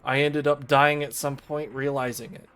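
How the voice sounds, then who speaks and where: A man streaming a visual novel, reading the game text aloud in a formal, measured storytelling style. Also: mid-range voice, flat delivery, a bit bright, clean tone. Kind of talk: dramatic read-aloud narration of game text. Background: video game music.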